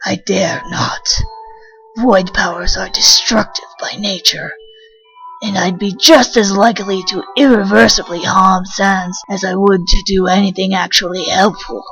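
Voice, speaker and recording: A woman voicing a guarded, grave scientist from the void in a fan comic dub, speaking formally and haltingly. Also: mid-range voice, a bit bright, pitched below the performer's own voice, rough recording. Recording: music under the voice.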